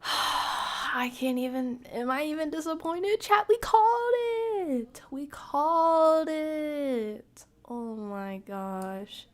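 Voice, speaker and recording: A woman's voice: sits high, lively intonation. A woman streamer giving casual, playful commentary to a live chat, talking in internet slang. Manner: slangy, playful, drawn-out delivery. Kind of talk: casual livestream commentary, chatting with viewers.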